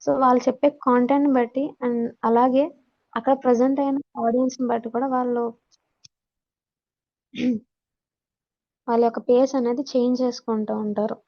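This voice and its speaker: A woman with a Telugu Indian accent giving an explanatory lesson, mixing in English terms and pausing hesitantly, on a dull lo-fi recording. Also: a little high, level tone. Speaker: teacher giving a communication skills lesson. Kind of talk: explanatory lecture, reading out points then explaining. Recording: dull lo-fi audio.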